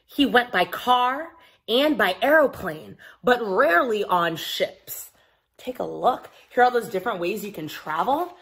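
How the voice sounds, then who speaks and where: A woman with a fairly high voice leads a story time for small children. She speaks straight to her young listeners, keeping her wording simple and checking in with them as she explains and reads. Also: expressive, unhurried, fairly bright tone. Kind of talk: children's story time, talking and reading aloud to young viewers.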